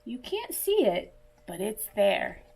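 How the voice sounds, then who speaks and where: Slow, mellow woman reading to children. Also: medium pitch, very animated, breathy edge, rough recording. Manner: asks listeners questions and pauses for answers. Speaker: children's story reader. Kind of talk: read-aloud picture book for young children.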